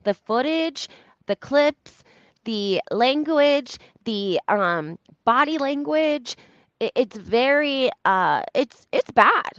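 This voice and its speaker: Woman doing gossipy recap chat, lots of vocal fry, dry close sound, kinda muffled, repeats stuff for emphasis. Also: sits high, expressive, slow, a bit bright, no echo. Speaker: YouTube host recapping reality TV. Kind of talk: casual gossip commentary, talking to live audience.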